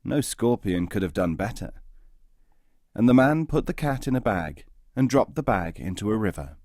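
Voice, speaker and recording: Man, audiobook narrator, articulate scripted storytelling, lively varied intonation, no room echo. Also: on the low side, normal speed, studio quality.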